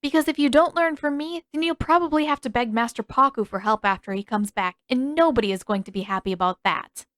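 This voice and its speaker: A woman's voice: on the high side, a little fast, hardly any pauses, fairly bright tone, no echo, clean studio sound, pitched above the performer's own voice, close to an everyday voice. A woman voicing a stern, impatient teenage girl who teaches and is easily flustered, in emphatic audiobook dialogue.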